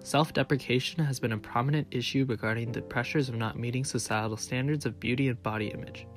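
A young man narrates a student documentary in a level, read-aloud manner. His pitch is mid-range for a man. Guitar music plays clearly beneath the narration. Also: quick pace. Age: young adult. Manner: even, scripted reading. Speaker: narrator and interviewer.

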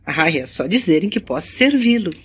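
Brazilian lady traveller, lively intonation, courteous, grateful, well-spoken, radio drama. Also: on the low side, normal speed, breathy edge, heavily acted. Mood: gracious. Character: polite, grateful adult woman traveller.

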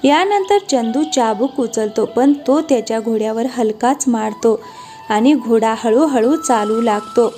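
High-voiced female story narrator. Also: unhurried, mellow. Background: light background music.

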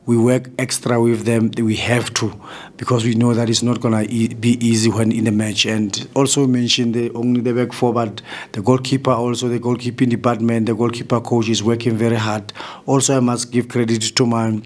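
A South African man in his forties, a football coach answering press questions in a measured way, often restarting his phrases, at a table mic in a press room. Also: mid-range voice, level tone, a little mellow. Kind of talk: post-match press conference answers. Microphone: table mic in front.

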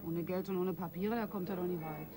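A woman's voice: lowish voice, fairly even intonation, brisk, lightly acted. An actress playing a brash, slangy young woman from a small criminal gang in a radio crime drama. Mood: anxious, nosy. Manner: slangy, mouthy, nagging. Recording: loud music under the voice.

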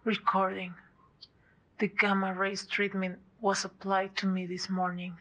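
A young woman acting a scientist who is turning into a monster, reporting her symptoms in a halting, anxious way in a small room. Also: mid-range voice, completely flat delivery, sharp tone. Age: young adult. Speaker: lead actress in a web series. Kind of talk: amateur web drama acting.

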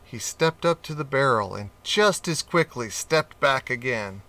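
A man reads a children's storybook aloud, slowly and expressively. His voice is bright and forceful, and his delivery is gentle and unhurried. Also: medium pitch, lively intonation. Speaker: audiobook reader.

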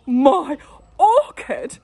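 A woman voicing a pompous, blustering middle-aged husband in a storybook, loud and outraged. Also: high voice, sing-song, drawn-out words, vocal fry, no echo, voice pushed up, exaggerated voice.

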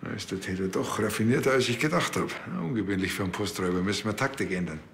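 A man's voice: fairly low pitch, lively intonation, fast, gravelly, a bit bright, slight background noise. An actor playing a cocky, scheming but naive village policeman with a Bavarian accent, in colloquial TV comedy dialogue.

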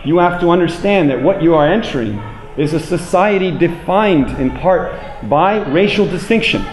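An American man in his forties giving a public lecture in an emphatic, deliberate manner, repeating phrases for weight. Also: fairly high pitch, animated. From United States. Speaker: public speaker. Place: indoor room with plain walls, speaking to an audience. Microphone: handheld microphone, later a small clip-on mic. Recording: roomy echo, clear background noise.

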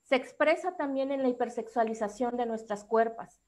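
A woman with a Mexican accent speaking as an activist on a discussion panel, delivering a prepared formal talk in a measured, articulate way. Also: a little high, a little mellow.

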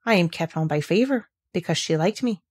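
A woman's voice: on the low side, lively intonation, fairly quick, breathy edge. Lady doing grumpy old yorkshire gardener man from a storybook, dry and blunt but kindly. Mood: quietly deferential.

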